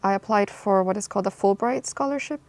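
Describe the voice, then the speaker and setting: A young woman from Costa Rica speaks as an interview guest, thoughtful and halting, with many ums. Her voice has a gravelly fry to it. The recording is dry and close. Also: mid-range voice, darkish tone, no echo. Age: young adult. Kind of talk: casual interview answers.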